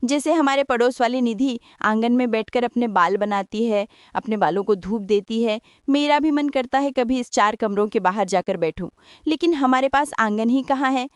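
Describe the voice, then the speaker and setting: A woman's voice: fairly high pitch, normal speed, no echo, lightly acted. A woman playing a resigned, aggrieved elder daughter-in-law and mother in a Hindi family audio story. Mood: wistful, aggrieved.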